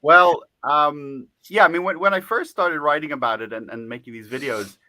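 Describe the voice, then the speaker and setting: Bright, crisp-sounding man guest. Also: a little high, moderate pace, no room sound, poor audio. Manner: chatty, many fillers and restarts, laughs often. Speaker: guest author. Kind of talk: casual interview talk.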